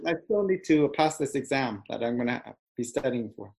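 Canadian man, halting guest answers. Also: mid-range voice, lively intonation, creaky, darkish tone. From western Canada. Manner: brief replies, halting restarts. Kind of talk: casual interview answers.

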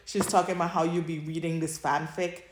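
A woman with a low voice talks casually as a podcast co-host. She retells things in an animated, conversational way. The recording is roomy and echoey. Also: brisk, slight background noise. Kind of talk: casual chat about fan fiction.

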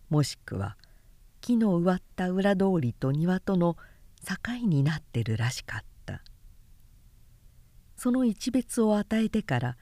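A woman narrating literary fiction aloud as an audiobook, with measured, deliberate phrasing and pauses. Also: lowish voice, mellow, no echo. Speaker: narrator reading a novel aloud.

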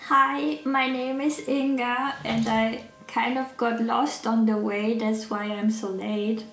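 A timid young woman traveler pleads sweetly in a fairly high voice, as a woman plays her at a game table. The sound is roomy and echoey. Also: flat delivery, takes it slowly, fairly bright tone, faint music behind. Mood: pleading. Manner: pleading, innocent. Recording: echoey room.

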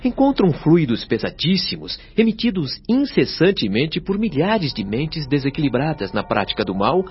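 An actor with a Brazilian accent playing a patient, learned spirit guide in a radio drama, speaking formally and instructively. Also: male voice, fairly high pitch, very animated, normal speed. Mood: solemn, explanatory. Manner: solemn, articulate, instructive, formal diction. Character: male spirit guide Lísias, patient, earnest, learned teacher. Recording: dull lo-fi sound, faint music behind.

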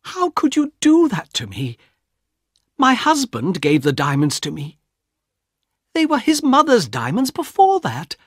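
Man putting on a scared young woman, high, pleading, strained, in a storybook reading. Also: huge pitch swings, unhurried, slightly rough, dry recording, studio quality, full character voice. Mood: distressed.

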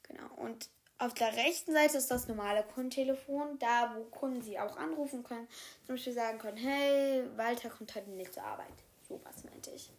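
A woman's voice: on the high side, brisk, natural voice. A woman playing a rambling office guide. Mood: friendly. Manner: rambling, correcting herself mid-sentence. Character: chatty female office worker giving a new secretary a tour. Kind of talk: improvised solo role-play.

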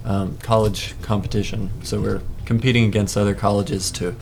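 Young guy, low-ish voice, relaxed and laughing a lot, bit of hiss in the background. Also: normal speed, vocal fry. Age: young adult. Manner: easygoing, laughs often, trailing fillers. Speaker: intern guest. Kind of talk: casual interview chat.

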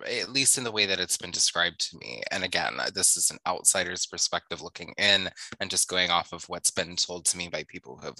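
A man's voice: low-pitched, sharp tone, dry recording, rough recording. A man co-hosting a casual chat, talking hesitantly and often searching for his words. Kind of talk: casual conversation.

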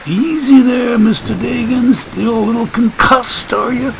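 A man's voice: very high voice, fairly even intonation, unhurried, vocal fry, noisy background, voice pushed up, exaggerated voice. A man voicing a folksy, preachy wisecracking man who talks in country grammar and sermonizing quips. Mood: teasing.

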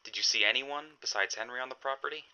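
A man playing a gruff, skeptical 1920s police detective in an audio drama, clipped and dismissive. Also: medium pitch, quick pace, sharp tone, dull audio. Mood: guarded, skeptical.

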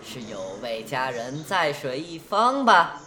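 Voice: medium pitch, sing-song, slow, sharp tone, full character voice. A performer playing a cheeky younger brother in a radio drama, teasing in a sardonic, pointed way. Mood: sarcastic. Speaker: voice actor. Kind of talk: Chinese radio drama dialogue.

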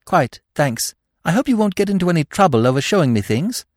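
A lively young woman, eager and impulsive, with a voice that swings high, as a male narrator puts her on. She talks in quick breathless bursts. The sound is close and dry. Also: dry recording. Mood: grateful. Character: eager young woman, spirited, then shaken.

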